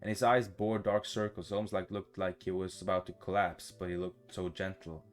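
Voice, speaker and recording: A man with a fairly low voice reads a visual novel aloud in a gaming playthrough, with clear guitar music behind him. He trips on words here and there and drops in his own comments. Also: level tone, normal speed. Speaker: gaming YouTuber.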